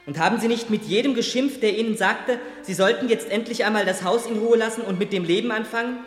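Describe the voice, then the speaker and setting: A man's voice: high voice, quick pace, close to an everyday voice. A man acting in a 1970s radio play as an unforgiving young son who confronts his father with insistent, formal questioning. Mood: bitter, accusing. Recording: reverberant hall, music behind.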